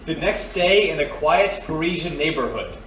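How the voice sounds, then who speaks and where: A man's voice: fairly high pitch, expressive, normal speed, over loud music, lightly acted. A man playing the dramatic narrator of a mystery serial, giving scripted narration. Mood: ominous, dramatic. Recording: very echoey, distant, noisy.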